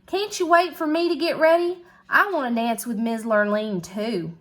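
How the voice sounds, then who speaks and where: A woman's voice: sits high, normal speed, a bit bright. A woman voicing a humble, eager young cowboy in a storybook, with folksy country talk. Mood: pleading.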